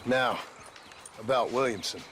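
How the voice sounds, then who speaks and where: An actor playing a guarded, plain-spoken former outlaw in a Western game, with an American Western accent. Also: male voice, medium pitch, a little slow, fairly bright tone, a little hiss or hum, lightly acted. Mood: dry. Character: terse, brooding ex-outlaw cowboy. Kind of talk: video game cutscene acting.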